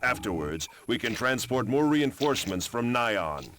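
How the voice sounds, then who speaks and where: An actor playing a ruthless warlord in a game cutscene, briefing his army in a stern, menacing, deliberate way. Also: medium pitch, big pitch swings, a bit bright. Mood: stern, businesslike. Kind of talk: scripted cutscene narration. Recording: very noisy old game audio.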